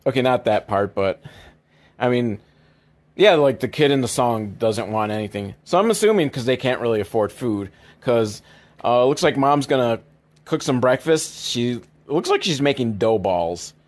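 A man's voice: medium pitch, lively intonation, normal speed. A man hosting a comedy podcast, recapping films in a casual, rambling, sweary, mock-exasperated way. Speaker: podcast host.

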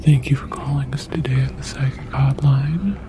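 A woman voicing a soothing alien psychic fortune teller in a gentle, breathy whisper for an ASMR roleplay. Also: extremely low pitch, steady tone, noisy background. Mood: gentle, reassuring. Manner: slow, gentle, reassuring. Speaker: ASMR performer.

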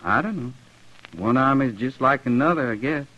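A man's voice: medium pitch, moderate pace, natural voice. Meek, simple drifter played by a man, muffled sound. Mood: matter-of-fact. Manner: plain, artless, humble. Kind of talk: 1950s radio western acting. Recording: muffled old radio recording.

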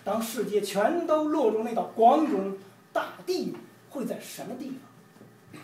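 Guy from china reading his poem aloud, emphatic and measured, kinda far from the mic, echoey. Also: extremely high pitch, expressive, mellow. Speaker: poet reading own work. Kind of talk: poetry reading. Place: room with large windows, indoors. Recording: distant roomy event recording, background noise.

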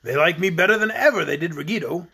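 A modest gnome courtier from a children's storybook, voiced by a man, fairly high for a male. He is obliging and deferential, a little hesitant in his answers. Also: animated, quick pace, vocal fry, pushed and bright, breathy edge, full character voice. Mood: humble. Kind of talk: storybook character voice.

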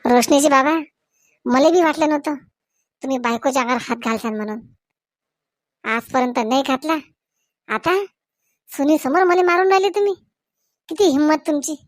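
Woman doing loud-mouthed angry cartoon village wife, high voice, varhadi marathi accent, scolding, dry close sound. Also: normal speed, long pauses, no room sound, lightly acted. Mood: indignant. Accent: Varhadi Marathi from Vidarbha. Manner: scolding, confrontational, exclamatory. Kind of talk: comedy cartoon dialogue, melodramatic.

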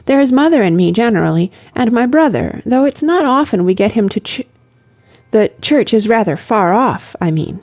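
A woman voicing an eager, naive young English gentlewoman in an effusive, girlish way for an audiobook. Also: a little high, expressive, moderate pace, pitched above the performer's own voice. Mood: eager, chatty.

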